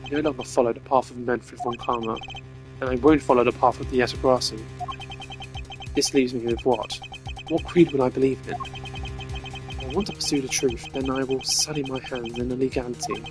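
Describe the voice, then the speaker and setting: A man's voice: mid-range voice, steady tone, music in the background, close to an everyday voice. Man playing a formal, brooding prosecutor. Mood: conflicted. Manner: formal, measured. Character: stern, principled male prosecutor, formal and brooding.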